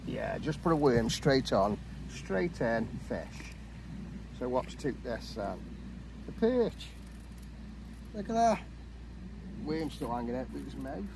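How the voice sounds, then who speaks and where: A middle-aged English man speaks to a camera set close by on a lakeside bank, outdoors. His voice is gravelly and unhurried, and he exclaims and chuckles as he chats about the fishing. Clear outdoor noise runs under the recording. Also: medium pitch. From England. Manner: chatty, excitable, exclaims and chuckles often. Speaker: angler vlogger. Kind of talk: casual fishing commentary to camera.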